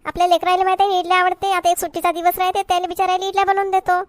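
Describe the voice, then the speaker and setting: A woman's voice: very high voice, little pitch movement, rapid-fire, no echo. A woman playing an exasperated, hard-working village wife in a comedy cartoon, complaining sharply in a Varhadi Marathi accent. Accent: Varhadi Marathi from Vidarbha. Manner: scolding, complaining, sharp-tongued.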